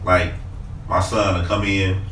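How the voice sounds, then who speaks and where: A congested man talks in loose, profane banter with a bright, forward edge to his tone. He sits back from the mic in an echoey room. There is a faint hiss underneath. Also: low-pitched, little pitch movement, unhurried, recorded from a distance. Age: adult parent. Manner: joking, swears freely, mimics people. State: congested, stuffy nose. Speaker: podcast co-host. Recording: roomy, off-mic, faint noise.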